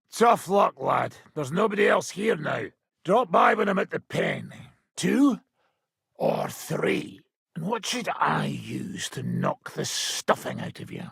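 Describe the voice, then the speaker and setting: Video game role: boisterous, hard-drinking medieval fight trainer, very husky and forceful, gruff taunts, unhurried delivery. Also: male voice, high voice, no echo, clean studio sound, heavily acted. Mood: boisterous, teasing. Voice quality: raspy, gravelly.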